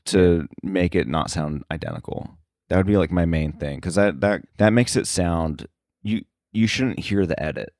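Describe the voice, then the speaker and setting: A man chatting casually as an interview guest, halting and thinking aloud as he explains. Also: low voice, expressive, a little mellow, no room sound, clean studio sound. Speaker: podcast guest, sound editor.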